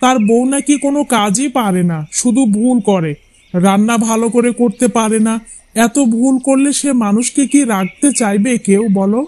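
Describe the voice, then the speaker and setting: Guy doing a really high pitched cartoon husband, chatty, pushy with his opinions, coaxing. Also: normal speed, darkish tone. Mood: opinionated. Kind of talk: Bengali cartoon fairy-tale voice acting.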